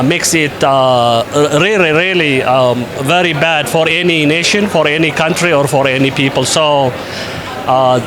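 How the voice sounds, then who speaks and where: A man from Ethiopia, an invited conference speaker, answering interview questions in a measured way with frequent pauses and fillers. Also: on the high side. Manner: measured, with many hesitations and fillers.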